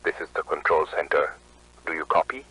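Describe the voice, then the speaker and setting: A man's voice: rumbling low, fairly even intonation, brisk, pushed and bright, exaggerated voice, rough recording. A man playing an urgent control-center operator giving orders, heard through a radio filter. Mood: alert, businesslike.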